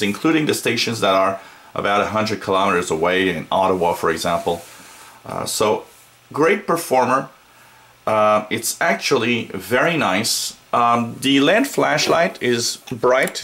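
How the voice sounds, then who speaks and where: A man giving a casual hands-on gadget review, explaining things plainly and haltingly as the reviewer. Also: on the low side. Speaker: product reviewer. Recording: noisy home recording, steady background noise.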